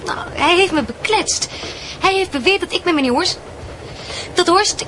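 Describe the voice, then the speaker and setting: A guarded, easily indignant schoolgirl in a radio play. Her voice is high, and clear noise sits behind it on the recording. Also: lively intonation, moderate pace, fairly bright tone. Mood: indignant, hurt. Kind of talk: radio drama dialogue. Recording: noisy radio drama recording.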